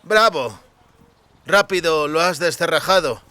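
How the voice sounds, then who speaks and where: A man's voice: fairly high pitch, measured pace, sharp tone, no room sound. Man playing a dry, aloof educated youth. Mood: sarcastic. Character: educated, sarcastic, slightly superior young man.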